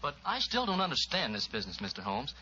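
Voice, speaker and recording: A man's voice: on the high side, wildly swooping pitch, quick pace, sharp tone, full character voice. An actor playing a grateful, puzzled young man in an old radio drama. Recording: dull, hissy old radio recording.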